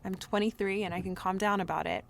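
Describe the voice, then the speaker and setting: A neurotic young woman in her twenties played by an actress confides in her audio diary for a drama podcast. She rambles frankly and puts herself down, sounding anxious and unguarded in a middle-pitched voice. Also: steady tone, quick pace, creaky, slight background noise, lightly acted. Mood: wry, unsettled. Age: 20s. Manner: rambling, self-deprecating, frank. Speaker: lead actor in an audio drama. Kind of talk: confessional audio diary monologue.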